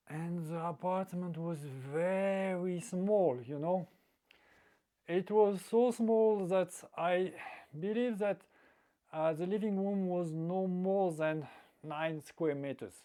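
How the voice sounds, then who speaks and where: Slow, halting man. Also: on the high side, darkish tone. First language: French. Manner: halting, searching for words, many restarts. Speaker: dating advice vlogger. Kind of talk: storytelling monologue.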